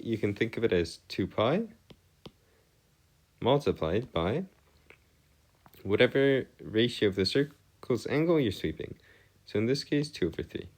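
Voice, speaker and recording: A man with a deep voice tutors in an explanatory, partly improvised style. His pitch swings widely as he works through each point. He is formal and wordy, often hesitating and rephrasing himself. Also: gravelly, darkish tone. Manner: hesitant, self-correcting, formal wordy phrasing. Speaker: math tutor. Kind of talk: semi-improvised explanatory lesson.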